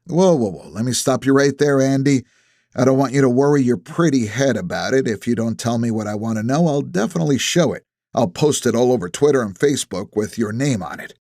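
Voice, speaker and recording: A man's voice: medium pitch, brisk, a little mellow, no room sound, clean studio sound, lightly acted. A defiant male detective read by a male narrator who needles and pushes. His delivery is brash and sarcastic, in the style of audiobook dialogue. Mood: taunting. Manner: sarcastic, pushy, taunting.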